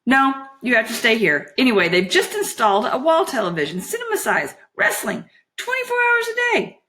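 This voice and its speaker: A woman's voice: a little high, very animated, normal speed, pushed and bright, voice pushed up. A woman voicing a defiant female elf captain from a fantasy storybook, with a firm, coaxing, commanding manner. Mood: persuasive.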